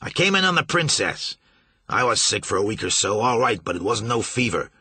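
A blustering riverboat captain barks his demands in a raised, husky voice that leaps up and down, as a man reads him aloud. He swears freely and is forever pushing for answers. The reading is bright and dry. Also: on the low side, big pitch swings, fairly quick, dry recording, full character voice. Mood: gruff. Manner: loud, cursing, demanding.

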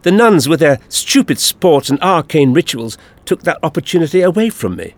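A man's voice: a little high, big pitch swings, moderate pace, no room sound, voice pushed up. A man voicing a scheming, gloating alien villain in an audiobook story. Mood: indignant. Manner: gloating, indignant.

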